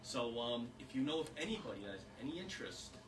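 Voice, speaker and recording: A man's voice: mid-range voice, big pitch swings, fast. A man speaking earnestly to a small audience as a volunteer, with rambling fillers. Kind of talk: informal talk to an audience. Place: small historic house meeting room. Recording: distant mic with background noise.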